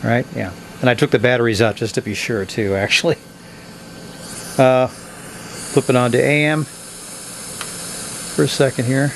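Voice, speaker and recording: A man talks through a review of a cheap radio in an offhand, blunt way, thinking aloud as he goes. His voice sits in a middle pitch. A faint hiss runs under it. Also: steady tone, measured pace, vocal fry, long pauses, rough recording. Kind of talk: casual product review. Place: indoors at a tabletop.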